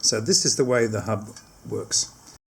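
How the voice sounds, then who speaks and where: A man's voice: lowish voice, measured pace, vocal fry, a little hiss or hum. A man giving a casual hands-on product demonstration, explaining step by step and often rephrasing his instructions.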